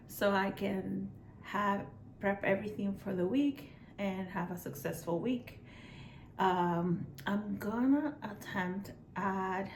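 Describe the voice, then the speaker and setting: A young woman from Puerto Rico with a Spanish accent, chatting casually at home in a weekend vlog, relaxed and rambling with fillers. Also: medium pitch, vocal fry, reverberant, slight background noise. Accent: Puerto Rican Spanish. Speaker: vlogger.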